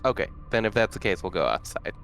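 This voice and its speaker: A man's voice: lowish voice, sing-song, quick pace, creaky, a bit bright, soft background music. A man playing a tabletop game, talking in a casual, conversational way. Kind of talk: casual chat. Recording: background noise on the mic.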